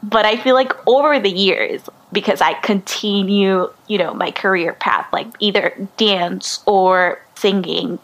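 A chatty woman talks casually as an interview guest, laughing easily between fillers. Her voice sits at an ordinary middle pitch for a woman. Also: unhurried, few pauses, fairly bright tone, poor audio. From Peru. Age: 20s. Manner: chatty, bubbly, laughs often, many fillers. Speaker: guest singer-songwriter.

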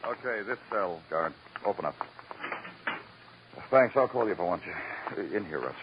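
An actor playing a terse, world-weary police lieutenant with dry, clipped delivery in a radio crime drama. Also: male voice, low voice, lively intonation, normal speed. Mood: brisk. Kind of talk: old-time radio crime drama acting. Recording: muffled, noisy vintage radio recording.

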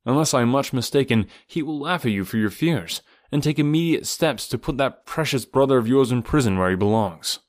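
A man voicing a strong, lonely young jungle man who speaks in a calm, measured and direct way. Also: medium pitch, natural voice. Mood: earnest.

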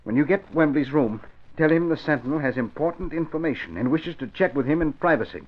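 An actor playing a cold gunman in a radio drama, giving brisk orders. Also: a little high, animated. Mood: cold, commanding. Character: cold, commanding male gunman and impostor. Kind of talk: 1950s radio drama acting. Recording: muffled, noisy old radio recording.

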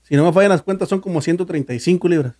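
A man's voice: high voice, dark tone, no room sound. A man with a Mexican accent in casual podcast chat, joking and teasing his co-host. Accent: Mexican Spanish. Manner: joking, quick to laugh, teasing.

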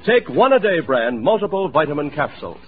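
Lively American man announcing on old radio. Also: on the high side, brisk, slightly rough. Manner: emphatic, salesmanlike. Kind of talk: 1940s scripted radio advertisement. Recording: thin, phone-like old radio broadcast.

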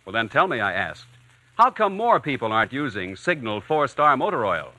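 A male 1940s radio announcer with a polished, emphatic sales delivery. His voice is very bright and a little high, with animated pitch and a dry sound. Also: moderate pace, no echo.

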